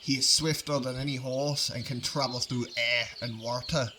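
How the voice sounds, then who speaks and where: Earnest dwarven craftsman voiced by a man, mid-pitched, formal and measured, amateur fantasy audio drama with faint outdoor noise. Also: steady tone, natural voice. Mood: proud, ceremonial. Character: earnest male dwarf smith presenting enchanted gifts.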